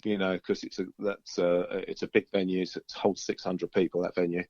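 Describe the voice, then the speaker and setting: A man with a fairly low voice talks as a musician guest. He rambles along casually and easily. The recording is dull and lo-fi, with no room echo. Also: little pitch movement, brisk, darkish tone. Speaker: band musician being interviewed. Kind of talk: casual chat.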